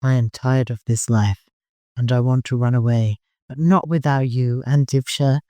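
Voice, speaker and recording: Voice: mid-range voice, steady tone, moderate pace, warm tone, dry recording, natural voice. A narrator voicing a fierce, proud, defiant young woman in an audiobook, bold and insistent. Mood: resolute.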